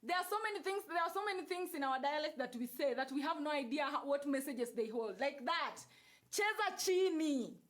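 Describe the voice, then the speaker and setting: A woman's voice: high-pitched, lively intonation, brisk, a bit bright. A Kenyan woman with a Kenyan accent, hosting a livestream, talking casually and emphatically about energy to her viewers, repeating key phrases. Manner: repetitive, emphatic, switches into Swahili and Luo phrases. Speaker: livestream host. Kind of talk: casual spiritual talk to live viewers.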